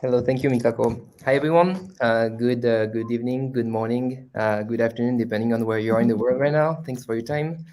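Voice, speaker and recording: French-born guy, mid pitch, dark mellow tone, lots of uhs. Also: fairly even intonation, fairly quick, hardly any pauses. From France. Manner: hesitant, many uh fillers. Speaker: engineering manager. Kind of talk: online panel self-introduction.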